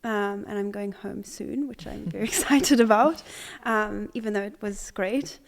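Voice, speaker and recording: A young woman from Zimbabwe, an artist, answering interview questions in a relaxed way with many pauses, fillers and restarts. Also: medium pitch, vocal fry. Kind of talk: conversational interview.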